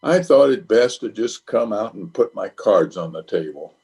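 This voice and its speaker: A man's voice: on the low side, lively intonation, normal speed, creaky, mellow, breathy edge, exaggerated voice. A man playing a flustered, blustering middle-aged bachelor in an old-time radio comedy, hemming and sheepish. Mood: sheepish, apologetic.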